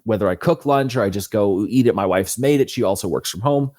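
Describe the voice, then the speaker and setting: A man's voice: on the low side, fairly quick, a little mellow, no echo. Man explaining casually, in a dry-sounding space. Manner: explanatory, thinking aloud. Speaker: interview guest, author. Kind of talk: casual conversational interview.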